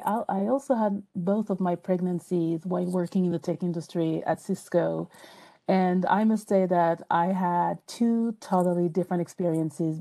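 A woman's voice: fairly low pitch, dark tone. A woman from France with a French accent, a podcast guest speaking earnestly and reflectively, with frequent hesitations and restarts. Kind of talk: personal interview talk.

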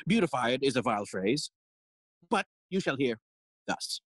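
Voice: medium pitch, very animated, unhurried, fairly bright tone, no echo. An actor playing a fussy, long-winded old male counsellor in an audio play, theatrical and forever interrupting their own speech. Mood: declaiming, fussy. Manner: rambling, theatrical, self-interrupting. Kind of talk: Shakespearean stage acting in audio play.

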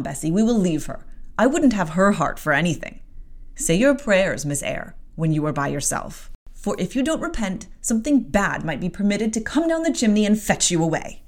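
A prim, disapproving lady's maid, high and loud with sharp indignation, voiced by a woman reading a novel aloud. Her pitch leaps about as she moralizes in a storybook reading. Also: very animated, moderate pace. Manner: harsh, disapproving. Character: harsh, self-righteous older lady's maid.

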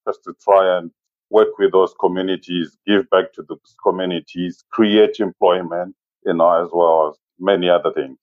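A man in his forties with a Zimbabwean accent, speaking as an interview guest in a measured, halting way and often saying "you know". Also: sits low, steady tone, no echo, narrow, tinny audio. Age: 40s. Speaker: interview guest, safari business owner. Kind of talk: conversational interview, personal recollection.

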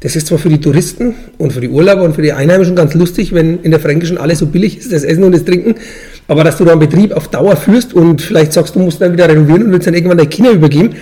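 Male, forties, Franconian dialect, brewmaster interviewee; quick, relaxed-sounding, colloquial explanations. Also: on the high side. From Franconian Switzerland, Bavaria, Germany. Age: 40s. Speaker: brewmaster and brewery owner, interviewee.

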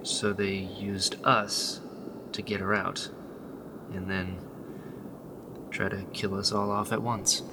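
A man's voice: on the low side, little pitch movement, slow, long pauses, background noise. A man playing a weary, troubled rebel medic in a sci-fi radio drama, speaking in an earnest, questioning way. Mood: troubled, puzzled.

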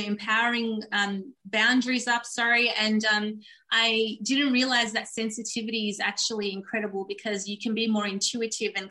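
A woman's voice: medium pitch, pushed and bright, low-quality recording. A woman, a Turkish speaker, telling her life story in an earnest, reflective way as an interview guest. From Australia. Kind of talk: candid personal storytelling in a podcast interview.

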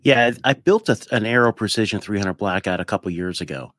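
A man's voice: on the low side, fast talker, fairly bright tone, no room sound, studio quality. A man hosting a podcast in a casual, informal and enthusiastic chatting style. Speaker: podcast host. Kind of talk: casual interview chat.

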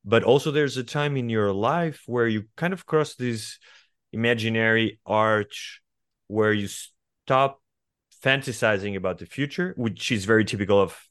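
A man in his forties from Portugal with a Portuguese accent, speaking as a guest writer in an interview, thoughtful and hesitant, often pausing and restarting. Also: fairly low pitch, fairly bright tone, clean tone, no room sound, studio quality. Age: 40s. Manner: reflective, hesitant with many fillers and restarts. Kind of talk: conversational interview.

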